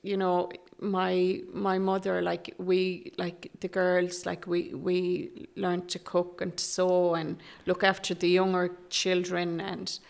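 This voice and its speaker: A middle-aged Irish woman from Galway, speaking softly and hesitantly as a guest in an interview, with many restarts. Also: lowish voice, completely flat delivery, slow, creaky, hardly any pauses. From Galway, Ireland. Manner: soft-spoken and hesitant, with many fillers and restarts. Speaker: guest, Traveller activist. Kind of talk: candid interview conversation.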